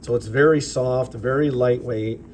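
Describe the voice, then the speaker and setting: A slightly raspy man, a chemistry teacher, narrating a lab demonstration off camera in a relaxed, explanatory way with fillers. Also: mid-range voice, measured pace, a little hiss or hum. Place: school chemistry lab with vents. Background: ventilation fans running.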